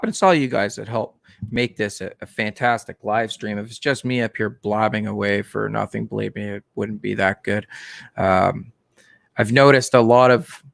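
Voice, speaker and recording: A man hosting a live stream about the aquarium hobby, talking casually in a monotone, with many fillers and restarts. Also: fairly low pitch, vocal fry, no room sound. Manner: plain, unhurried talk with many fillers and restarts.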